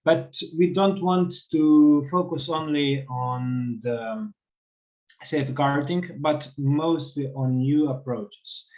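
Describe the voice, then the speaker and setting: A man's voice: fairly high pitch, a little mellow, poor audio. A man in his thirties with a Slovenian accent, explaining his organization's work earnestly on a video call. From western Slovenia. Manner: earnest, explanatory, some halting. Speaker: panelist, cultural heritage organization representative. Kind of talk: panel discussion answer.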